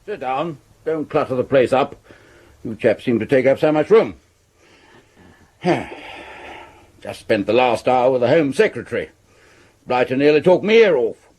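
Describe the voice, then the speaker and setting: An actor playing a brusque, pompous Victorian police commissioner with an upper-class English accent in a radio drama. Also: male voice, medium pitch, lively intonation, moderate pace, pauses often, darkish tone, close to an everyday voice. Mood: grumbling. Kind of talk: 1970s radio drama acting.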